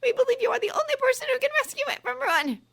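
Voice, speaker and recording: A woman voicing a shrill, pompous middle-aged witch official who shrieks and screams. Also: very animated, fast talker, gravelly, a bit bright, full character voice. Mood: shrill pleading. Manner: shrieking, pleading then screaming.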